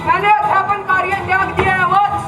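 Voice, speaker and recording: A man's voice: very high-pitched, completely flat delivery, fairly quick. A male actor playing a stern, wrathful warrior-sage teacher in a mythological stage play, declaiming imperiously. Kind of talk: stage drama in formal, declamatory Hindi. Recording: distant echoey stage, loud music, crowd.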